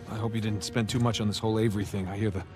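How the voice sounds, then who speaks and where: A man's voice: fairly low pitch, steady tone, racing, close to an everyday voice. An actor playing a wisecracking male treasure hunter in a video game cutscene, talking with dry, joking banter over film score music. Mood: wry. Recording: music behind the voice.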